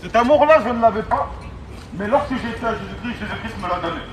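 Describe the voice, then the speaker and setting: A high-voiced man evangelizes in the open air through a megaphone, with street noise clearly behind him. He exhorts emphatically, hammering key phrases by repeating them. It is a street sermon, amplified over the sounds of the city. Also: animated, fast talker. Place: outdoors on an urban street.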